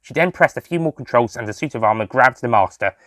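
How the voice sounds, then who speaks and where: A man narrates a scripted adventure story. His pitch moves around widely as he tells it, and the sound is close and dry. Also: lively intonation, fast talker, fairly bright tone, no echo. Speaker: writer and narrator.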